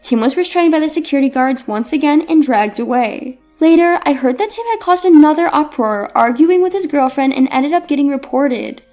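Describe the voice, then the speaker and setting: A woman's voice: sits high, expressive, fairly quick, hardly any pauses, a little mellow, faint music behind. A woman reading a scripted first-person story as narrator.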